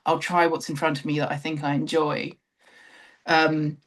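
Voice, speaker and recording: A woman's voice: low-pitched, level tone, normal speed. A woman in her thirties from London with a southern English accent, talking reflectively and self-deprecatingly as an interview guest, thinking aloud as she recalls her experiences. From London, England. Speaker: interview guest, theatre director. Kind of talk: conversational interview, personal recollection.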